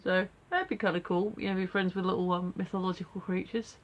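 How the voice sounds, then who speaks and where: A woman's voice: fairly low pitch, fairly even intonation, fast, lo-fi sound. A woman with a stuffy nose from a cold, rambling casually with many fillers in a daily video diary from home. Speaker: vlogger.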